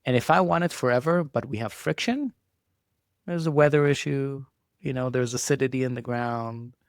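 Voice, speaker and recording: A man's voice: medium pitch, normal speed, no room sound, studio quality. A man, giving reflective, conversational answers as an interview guest. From Israel. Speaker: podcast guest, entrepreneur.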